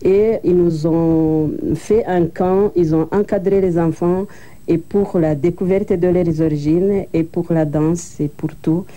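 Low, very dark-voiced woman. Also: steady tone, slow pace. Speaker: interview guest, association co-founder. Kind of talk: broadcast interview.

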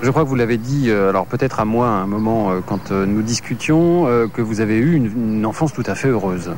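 A man's voice: fairly low pitch, brisk, darkish tone, slight background noise. A male interviewer asking long, hesitant, rambling questions.

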